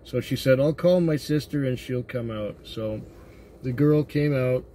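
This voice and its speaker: A man driving a taxi, talking in a tired, rambling way with many fillers, his voice slightly hoarse and congested. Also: mid-range voice, measured pace, dark tone. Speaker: taxi driver. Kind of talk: casual vlog monologue. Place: inside a taxi at night. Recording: noisy car interior. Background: car and road noise.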